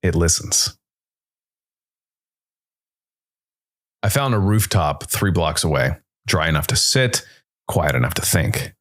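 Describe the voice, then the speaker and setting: A man voicing a weary, somber jazz musician who narrates a noir story in a brooding, deliberate, dramatic way. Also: deep voice, sing-song, fairly bright tone. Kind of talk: scripted noir first-person narration.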